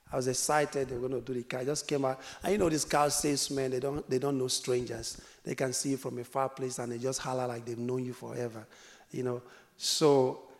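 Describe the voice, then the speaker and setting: A man from Africa preaching a sermon, dropping to whispers and rising to loud exclamations, laughing as he tells a story. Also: mid-range voice. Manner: laughs while telling a story.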